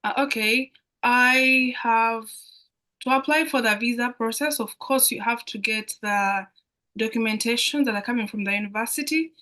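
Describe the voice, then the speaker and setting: Bright-voiced young Ugandan woman, high-pitched. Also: normal speed. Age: young adult. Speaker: international student guest speaker on a Q&A. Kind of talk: explanatory talk in a live online Q&A.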